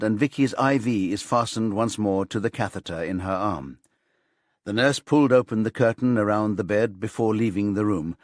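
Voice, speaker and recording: A man narrating a crime thriller audiobook in a measured, suspenseful, scripted manner. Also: lowish voice, dry recording, studio quality.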